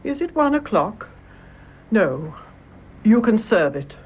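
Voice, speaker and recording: A woman's voice: mid-range voice, very animated, slow. An actress playing a composed, commanding older British mother, the lady of the house, in a radio drama. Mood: brisk. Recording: dull, noisy radio drama recording.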